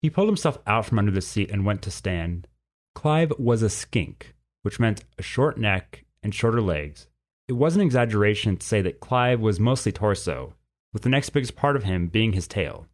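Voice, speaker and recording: A man reading a scripted story as narrator, in an expressive way. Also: lowish voice, normal speed.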